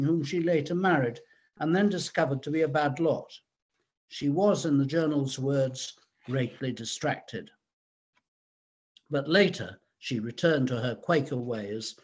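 A man reading a researched historical account aloud in a measured, formal way, with the occasional stumble. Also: fairly high pitch, darkish tone, slightly rough. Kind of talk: scripted historical narration, read aloud.

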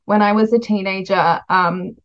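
A woman's voice: medium pitch, steady tone, moderate pace, highs cut off. A woman hosting a podcast interview about books in a chatty style. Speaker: podcast host. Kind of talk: podcast interview, warm book chat.

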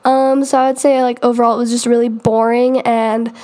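High-voiced young woman chatting casually. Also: little pitch movement, fairly quick, mellow. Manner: chatty, rambling, many "um" and "like" fillers. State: recovering from illness, congested. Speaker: podcast host. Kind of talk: casual solo podcast chat.

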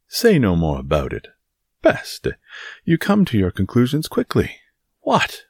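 A man acts out a blustering musketeer from a classic adventure audiobook. His pitch swings widely from low rumbles to high exclamations, and he sounds boastful, theatrical and very pleased with himself. The recording is dry and close. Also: huge pitch swings, unhurried, dry recording, full character voice. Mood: indignant. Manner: self-sufficient, exclamatory, theatrical. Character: boastful, blustering male musketeer, loud and self-satisfied. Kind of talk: dramatised audiobook reading of a classic adventure novel.

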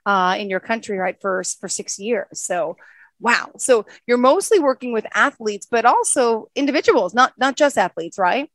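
A woman hosting a podcast interview, effusive and gushing, with warm endearments for her guest. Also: on the high side, lively intonation, normal speed, dry recording. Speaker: podcast host. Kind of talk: interview chat.

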